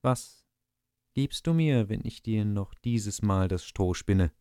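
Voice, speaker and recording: A man voicing a greedy, spiteful little imp in a storybook reading, with a high, slow, taunting delivery. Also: animated, warm tone, smooth, no room sound, clean studio sound. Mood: wheedling. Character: spiteful tiny male imp, shrill and greedy. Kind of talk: audiobook reading of a fairy tale.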